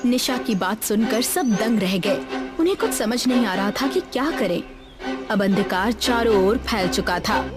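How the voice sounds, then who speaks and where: A woman's voice: fairly high pitch, animated, a little slow. A woman reading a scripted children's story in a narrator's role. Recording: music behind the voice. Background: background music.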